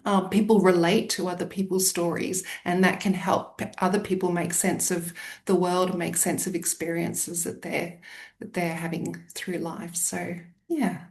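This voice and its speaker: A woman's voice: on the low side, flat delivery, moderate pace, a little mellow. A woman with an Australian accent, a storytelling coach explaining her work in an upbeat, earnest way over a video call.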